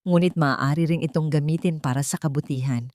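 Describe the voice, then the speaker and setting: A woman's voice: low-pitched, fairly quick, a little mellow, no room sound, clean studio sound. A woman reading a scripted, dramatic romantic story as a narrator.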